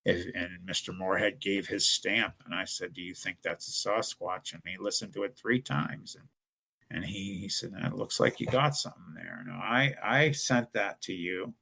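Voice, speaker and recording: A man's voice: low-pitched, animated, normal speed, gravelly, sharp tone, breathy edge, rough recording. A man from Ohio, a podcast guest, tells his story in an earnest, rambling way, often restarting his sentences. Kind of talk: casual storytelling.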